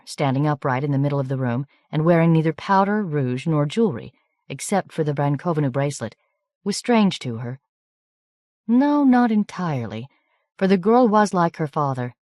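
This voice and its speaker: A woman narrating an audiobook in a measured, even reading voice. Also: deep voice, no echo, clean studio sound. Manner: measured, even reading with light character shading. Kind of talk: scripted narration.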